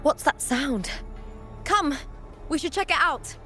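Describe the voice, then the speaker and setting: A woman's voice: high-pitched, lively intonation, slow, bright, forceful tone, heavily acted. A woman playing a spirited, bold young warrior with a rallying, dramatic manner in a video game. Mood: alert, urgent. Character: spirited young female warrior with a wolfish battle cry. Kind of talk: game voice acting. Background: game music.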